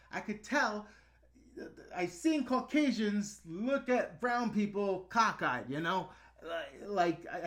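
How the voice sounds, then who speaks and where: A man's voice: high-pitched, a bit bright. A middle-aged man with a New York accent, hosting a casual livestream and answering chat in a blunt, ranting way. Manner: blunt, ranting, chatty with fillers. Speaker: YouTube host answering live chat.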